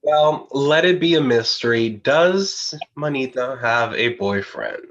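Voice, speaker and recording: A man's voice: mid-range voice, animated, slow, fairly bright tone, lo-fi sound. A man, a pageant analyst who hosts a live online interview, talking in an enthusiastic, supportive way and often repeating words. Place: United States.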